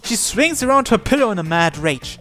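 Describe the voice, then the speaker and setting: Higher-pitched man narrating a story over music. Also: big pitch swings, fairly quick. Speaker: gamer reading a visual novel aloud. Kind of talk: dramatic first-person story narration.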